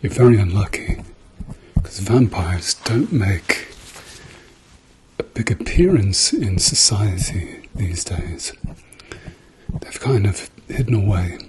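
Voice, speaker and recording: Slow, low-pitched soft-spoken ASMR man. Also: expressive, noisy background. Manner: gentle, reassuring, dryly playful. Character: calm, reassuring male doctor treating a patient. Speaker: ASMR roleplayer playing a doctor. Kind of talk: soft-spoken ASMR medical roleplay, often whispering.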